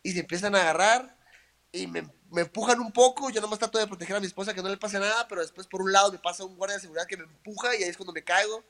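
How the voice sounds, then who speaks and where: A Mexican man with a Mexican accent and a fairly high voice, chatting easily as an interview guest. He talks casually and tells his stories loosely, leaning on small fillers as he goes. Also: rapid-fire. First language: Spanish. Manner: relaxed and chatty, with many "o sea" and "eh" fillers. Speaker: interview guest, professional fighter.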